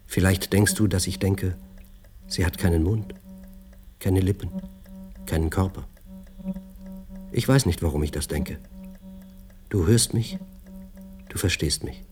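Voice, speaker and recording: A man acting a haunted physicist in a German radio play, speaking in an introspective, halting and at times declamatory manner. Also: low voice, over music. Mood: tender, brooding. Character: troubled male physicist, haunted and brooding, lecturing on particles.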